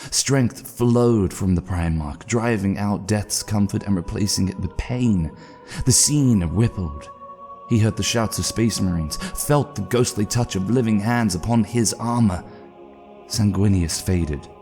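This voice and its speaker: A man narrates a dark epic with grave, measured care. His voice is low, gravelly and mellow, with music softly underneath. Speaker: narrator, voice actor. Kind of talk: solemn dramatic audiobook narration.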